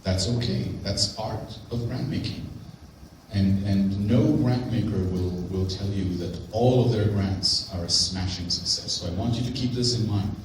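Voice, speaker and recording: A man presenting on grants at a conference in a hotel conference room, speaking in a clear, explanatory and emphatic way. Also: fairly low pitch, normal speed, a little hiss or hum. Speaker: grant program officer. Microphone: handheld mic, far camera. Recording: very echoey room from far off.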